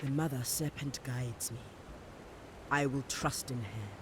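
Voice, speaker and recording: An actress playing an earnest, resolute priestess in a fantasy game, speaking formally and deliberately. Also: female voice, very deep voice. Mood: troubled, resolute. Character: earnest, resolute priestess leader of an island people. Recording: noisy ambient background.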